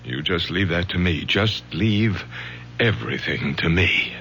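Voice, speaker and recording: A man's voice: low-pitched, lively intonation, unhurried, sharp tone, exaggerated voice. An actor playing an anxious, domineering middle-aged father in old-time radio drama, insistent and repeating himself. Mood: urgent, controlling. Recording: noisy, humming old radio recording.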